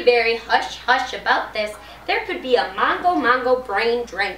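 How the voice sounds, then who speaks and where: A woman's voice: fairly high pitch, a bit bright. A woman voicing a bossy, jittery storybook school nurse who speaks urgently and probingly in rhyming slang. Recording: noisy echoey room, far from mic.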